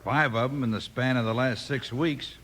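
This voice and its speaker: An actor playing a jumpy, suspicious buffalo hunter in a 1950s radio western, with a rustic frontier drawl and slang. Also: male voice, medium pitch, fairly even intonation, moderate pace, fairly bright tone, breathy edge. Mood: apologetic, worried. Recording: faintly noisy 1950s radio recording.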